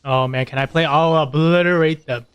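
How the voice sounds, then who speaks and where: Higher-voiced man joking over gameplay. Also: steady tone, moderate pace, clean tone, no echo. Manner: mock-boastful, irreverent. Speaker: gameplay streamer. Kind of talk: casual joking commentary.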